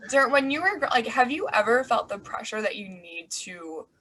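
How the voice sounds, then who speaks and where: A woman's voice: on the high side, big pitch swings, normal speed, bright, forceful tone, slight background noise. A woman co-hosting a podcast, talking frankly and jokingly, with curse-laced asides. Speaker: podcast co-host, doctor. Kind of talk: casual conversation.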